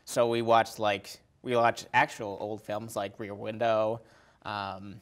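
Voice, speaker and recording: A man with a fairly low voice talks casually on a film podcast. His delivery is loose and hesitant, and he often backs up to qualify or correct what he has said. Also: little pitch movement, slow. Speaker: podcast co-host or guest.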